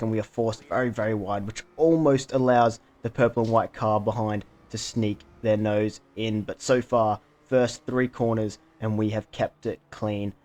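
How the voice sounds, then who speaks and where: A man with a medium-pitched voice narrates his own sim race as running commentary. His intonation stays fairly level as he follows the action corner by corner, speaking as the player behind the wheel. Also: darkish tone. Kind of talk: race commentary over recorded gameplay.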